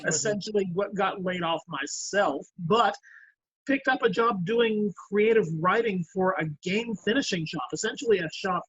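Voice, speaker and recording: A man talking casually and haltingly on a video call. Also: high voice, a bit bright, slightly rough, dry recording. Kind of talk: casual chat. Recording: dry, dull lo-fi call audio.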